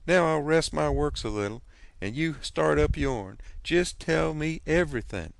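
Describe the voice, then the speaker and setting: A man voicing an excitable older farm wife who talks in a breathless, gushing rush. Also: a little high, measured pace, vocal fry, dry recording, voice pushed up. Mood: eager. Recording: dry close studio sound.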